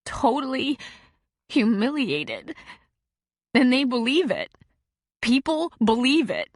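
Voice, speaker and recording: A woman's voice: high-pitched, sing-song, slow, no room sound, studio quality, voice pushed up, exaggerated voice. A woman voicing an upset, humiliated teenage girl who sounds small and tearful. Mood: distraught.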